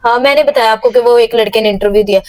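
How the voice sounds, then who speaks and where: A woman's voice: a little high, rapid-fire, a little mellow, no echo. A young woman with a Pakistani accent answering as an interview guest, informal and defensive, repeating herself for emphasis.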